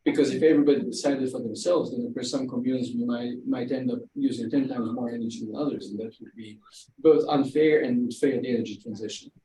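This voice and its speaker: A man speaking on an academic panel, halting and often repeating his words while he thinks. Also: medium pitch, fairly even intonation, warm tone. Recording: echoey room, distant mic, faint hiss.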